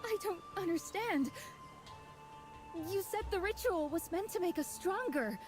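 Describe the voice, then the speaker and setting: A woman's voice: very high voice, lively intonation, a little slow. An actress playing an anxious young woman follower who sounds fearful and pleading. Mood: frightened. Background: game music.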